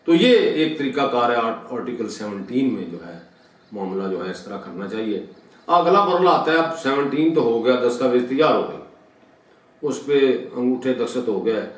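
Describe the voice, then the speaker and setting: A man with a Pakistani accent gives an explanatory law lecture, didactically repeating and rephrasing his points and mixing in English legal terms. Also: medium pitch. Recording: very echoey room, distant mic.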